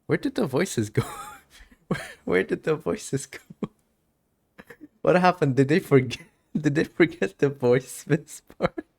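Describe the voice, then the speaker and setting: A man livestreaming a game, reacting casually and excitably and sometimes reading the text aloud. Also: on the high side, slow pace, gravelly, husky. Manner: excitable, giggly, stumbles when reading. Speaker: game streamer.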